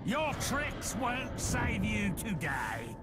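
Very high, savage taunting orc. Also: male voice, huge pitch swings, full character voice. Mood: gleeful menace. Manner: taunting, drawn-out threats. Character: savage, cocky orc brawler, gleefully violent. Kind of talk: video game villain acting. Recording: loud music behind.

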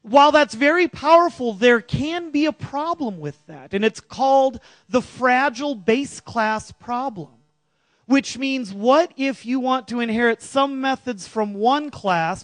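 A middle-aged man with a big, loud voice presents to a conference audience from a large auditorium stage. His voice sits high and lively, and he keeps turning to the listeners with quick questions. The handheld mic sounds close and dry. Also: dry recording. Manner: animated, jokey, asks the audience questions. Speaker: conference speaker. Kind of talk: tech conference talk. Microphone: handheld microphone.

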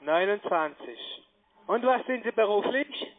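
A man giving a phone sales pitch in halting non-native German with grammar slips, as a call center agent. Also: expressive. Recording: tinny band-limited phone line.

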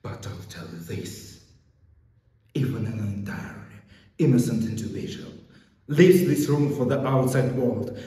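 A man acting a vengeful, self-righteous police interrogator in an intense theatrical monologue, shifting between hushed menace and outbursts. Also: lowish voice, lightly acted. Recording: echoey hall, long reverb, background noise.

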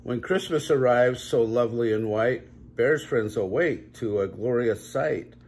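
An older man reading a children's story aloud at home in measured, drawn-out storybook phrasing. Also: mid-range voice, a little mellow, slight background noise. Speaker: grandpa reading a picture book aloud. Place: small home room, indoors.

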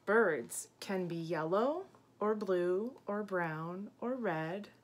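A woman in her thirties reading a picture book aloud to young children, gently explaining and asking questions as a teacher does. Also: medium pitch, takes it slowly. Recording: clear background room noise.

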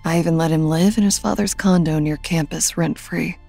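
A middle-aged woman playing a bitter, guarded widowed mother in an audio drama, curt and sardonic, with a gravelly voice. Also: sits low, fairly even intonation, normal speed, dark tone, soft background music.

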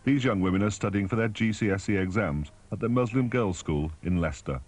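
A man's voice: lowish voice, fairly quick, darkish tone. A British man reading scripted documentary narration as a news reporter.

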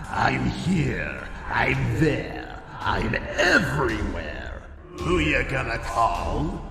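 Wildly swooping phantom pirate fox. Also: male voice, fairly low pitch, gravelly, exaggerated voice. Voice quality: growly. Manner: pirate talk. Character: mad, boastful phantom pirate fox animatronic. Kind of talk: fan-made horror game character reel. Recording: echoey, long reverb.

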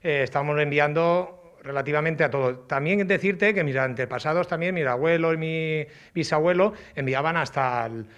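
A man with a southeastern Spanish accent from Alicante explains informally and haltingly, repeating words and adding tag questions, slightly muffled by a face mask. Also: fairly high pitch, fairly bright tone. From Agost, Alicante province, Spain. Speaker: artisan potter, interviewee. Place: indoors in old pottery workshop and brick kiln. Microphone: handheld reporter's microphone.

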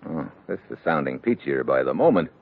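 An actor playing a tough, wry American secret agent in an old radio adventure, with sardonic, clipped delivery. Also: male voice, very deep voice, lively intonation, a little fast, vocal fry, heavily acted. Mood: sardonic, scheming. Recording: muffled, noisy old radio recording.

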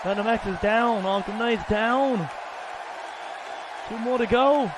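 A man commentating his own wrestling video game match in loud, excitable play-by-play, exclaiming and swearing at the big moves. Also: very high voice, slow. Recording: loud game crowd and noise behind.

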